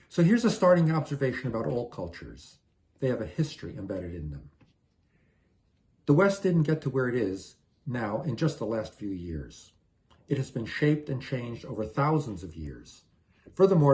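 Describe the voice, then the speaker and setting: An older man giving a prepared, explanatory lecture in a measured, orderly way. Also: mid-range voice, mellow. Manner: measured, plainly didactic, orderly. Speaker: lecturer introducing a teaching series.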